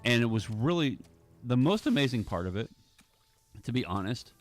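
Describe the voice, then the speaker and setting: A man's voice: mid-range voice, very animated, moderate pace. A man giving a casual walkthrough of his audio drama editing, thinking aloud in fragments. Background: film score music.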